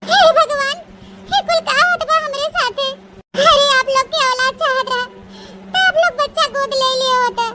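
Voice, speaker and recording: A woman's voice: very high-pitched, normal speed, bright, forceful tone. A woman with an Awadhi accent voicing a wretched, self-pitying young bride who laments in melodramatic cartoon style. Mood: distressed. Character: wretched orphaned young bride sold to an old man. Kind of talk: melodramatic comic cartoon acting. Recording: noisy, hissy voice track.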